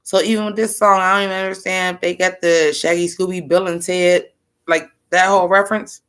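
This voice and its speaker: A woman rapper with a deep, bass-heavy voice, chatting loosely to her viewers as she reacts to her own old album. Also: steady tone, brisk, a bit bright, clear, resonant tone. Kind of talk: casual reaction commentary.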